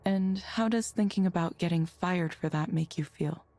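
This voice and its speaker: Female actor playing composed counselor, mellow lowish voice, even and neutral, dry close sound, game dialogue. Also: normal speed, no echo, close to an everyday voice. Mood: calm, neutral. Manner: even, neutral, gently probing questions. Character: calm, measured female counselor for an AI program. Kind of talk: scripted visual novel game dialogue.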